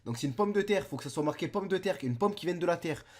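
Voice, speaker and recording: A man's voice: high-pitched, lively intonation, fast, darkish tone. A young man with a stuffy nose from a cold, rambling through playful, slangy, absurd comic commentary. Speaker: video commentator.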